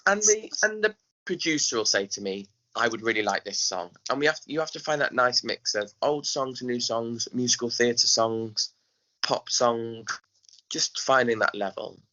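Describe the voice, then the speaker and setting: An English man talking conversationally as an interview guest, animated in his storytelling. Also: mid-range voice, moderate pace. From England. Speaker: guest, pantomime director and choreographer. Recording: dull lo-fi audio.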